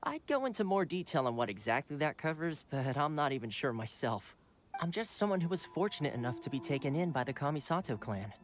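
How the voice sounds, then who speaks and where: A man's voice: a little high, sing-song, a little fast, noisy background. An actor playing a friendly, suave young male retainer in a video game, with a polite, playful and formal way of talking. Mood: warm, explanatory. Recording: game dialogue over background music.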